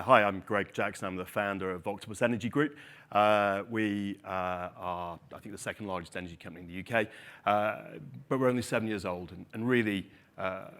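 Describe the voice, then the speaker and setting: Male founder on a panel, low voice, measured, with pauses and "uh"s. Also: a bit bright. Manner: hesitant, measured, many "uh"s. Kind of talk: panel introduction.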